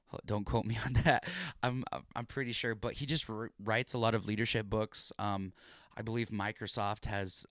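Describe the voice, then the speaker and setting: A young man with a low, smooth, clear voice, hosting a casual podcast chat. He talks earnestly, rambling a little with restarts and fillers. The sound is close and dry, straight into a podcast mic. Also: a bit bright, no room sound. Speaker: podcast host.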